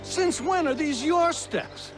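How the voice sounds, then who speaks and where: A man playing an indignant, excitable wronged tradesman with an exclamatory, dramatic manner in a video game cutscene. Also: extremely high pitch, slow, music in the background.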